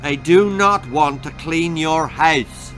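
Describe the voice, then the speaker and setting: A man plays a headstrong young girl from a children's storybook. The voice is pushed up high, emphatic and stubborn. Soft music sits underneath. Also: slow. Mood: indignant.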